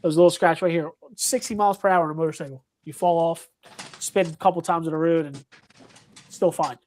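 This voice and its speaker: A man's voice: fairly high pitch, fairly even intonation, fast, darkish tone. A man from Puerto Rico, a podcast guest, talking casually and hesitantly, with many restarts and ums. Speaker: guest, paranormal investigator. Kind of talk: casual chat.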